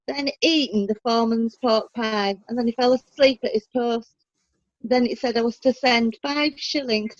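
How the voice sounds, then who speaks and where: Blunt Yorkshire widow female actor, comic courtroom drama; dry delivery, high voice, close dry sound. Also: dry recording, dull audio, lightly acted. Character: blunt, fierce working-class Bradford widow giving evidence. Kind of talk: comic courtroom play acting.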